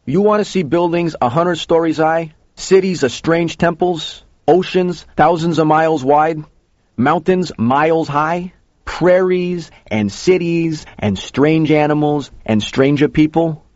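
A man's voice: fairly high pitch, measured pace, darkish tone, voice pushed up, lightly acted. A man voicing a cautioning diner regular who questions a youngster pointedly in an audio story. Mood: challenging, grand. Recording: muffled, roomy recording.

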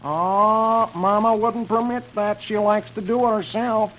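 A man's voice: sits high, fairly even intonation, a little slow, a bit bright, a little hiss or hum, voice pushed up. A man voicing an earnest young son from a newspaper comic strip. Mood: doubtful. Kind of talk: reading newspaper comics aloud in character. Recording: dull old radio recording.

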